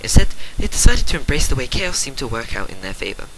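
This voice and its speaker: A man's voice: mid-range voice, very animated, fast. A man giving an unrehearsed dramatic story reading, amused, often stumbling and correcting himself, in a noisy recording. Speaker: amateur story reader. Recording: loud background noise with clicks.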